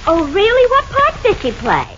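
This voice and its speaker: A woman's voice: very high voice, huge pitch swings, normal speed, very noisy, heavily acted. A woman playing an easily swayed engaged girlfriend in a 1940s radio comedy, on an old muffled broadcast recording. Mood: bright, eager.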